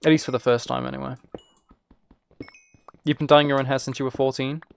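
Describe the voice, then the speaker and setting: A man's voice: mid-range voice, level tone, a little fast, creaky, slight background noise. A British man streaming a game and chatting casually with viewers, musing aloud in an informal, rambling way. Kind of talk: casual chat while playing a game.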